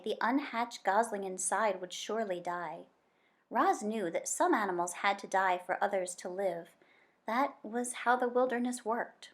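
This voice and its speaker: A woman reading a children's book aloud as an expressive story narrator. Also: mid-range voice. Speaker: story reader.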